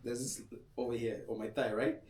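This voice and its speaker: A young man from Kenya talks in an easy, slangy, unhurried way, like a tattoo artist chatting with a friend. He sits back from the microphone, so his voice sounds distant and picks up the room's echo. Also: medium pitch, flat delivery, darkish tone. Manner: laid-back, slangy, laughs often. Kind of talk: casual podcast chat. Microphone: podcast mic set back. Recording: echoey room, voice off-mic.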